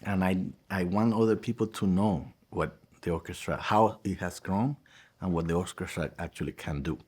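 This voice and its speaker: A man's voice: deep voice, normal speed, a little mellow, slightly rough. A man from Cuba, answering interview questions earnestly as a music director. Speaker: music director and guitar professor.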